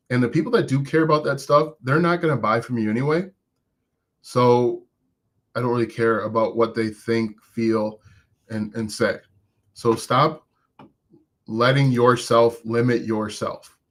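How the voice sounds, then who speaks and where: A man coaching viewers live about online business, talking conversationally and encouragingly with frequent restarts, and saying he has an accent of his own. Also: mid-range voice. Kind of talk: live-stream coaching, answering chat.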